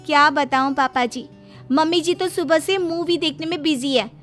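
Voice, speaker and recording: A woman's voice: high-pitched, normal speed, a bit bright. A woman voicing an exasperated, dutiful young daughter-in-law with a plaintive, complaining manner. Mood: grumbling. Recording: music under the voice.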